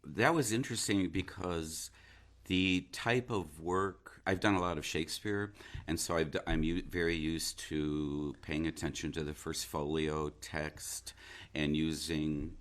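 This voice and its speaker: A man talking reflectively as an interview guest, an actor who pauses and searches thoughtfully for his words. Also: rumbling low, steady tone, fairly bright tone. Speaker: interview guest, stage and radio-play actor.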